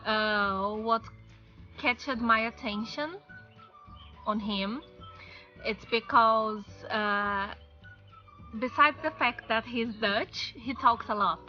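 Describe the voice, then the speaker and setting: A woman's voice: fairly high pitch, slow, pauses often, lo-fi sound, soft background music. A young woman from Brazil with a Brazilian Portuguese accent, chatting casually to camera in English with many fillers, outdoors on a patio. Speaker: vlogger. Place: outdoor patio by a wooden fence.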